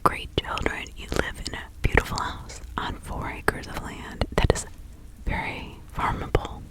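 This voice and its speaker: A woman voicing a kindly, chatty older village witch in an ASMR roleplay, speaking in a breathy, halting, confiding whisper. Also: lightly acted, rough recording. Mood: confiding, advising. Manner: slow, halting, soothing, confiding.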